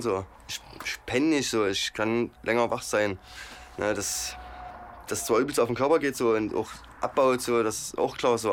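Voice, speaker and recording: A man talking candidly in a casual interview, using colloquial slang and often repeating and restarting his phrases. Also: mid-range voice. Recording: clear background noise and ambience.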